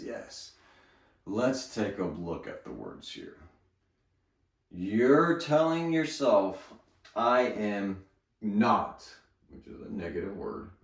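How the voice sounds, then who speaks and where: A man with a lowish voice talks slowly and earnestly, coaching his listeners and repeating key phrases to drive them home. He stands back from the mic, and the room adds a clear echo. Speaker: speaking coach. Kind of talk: motivational talk. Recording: roomy, from a distance.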